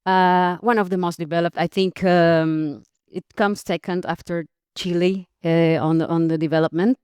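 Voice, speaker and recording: Clear-voiced woman from Costa Rica. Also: lowish voice, fairly even intonation, normal speed, darkish tone, no room sound, studio quality. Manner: friendly, laughs often, frequent fillers and restarts. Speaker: podcast guest. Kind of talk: interview conversation.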